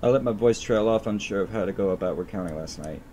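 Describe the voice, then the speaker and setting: A deep-voiced man reads game narration aloud as a streamer. He trips over and repeats phrases as he goes, with faint noise behind him. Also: fast talker, dark tone. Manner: stumbles and repeats words while reading.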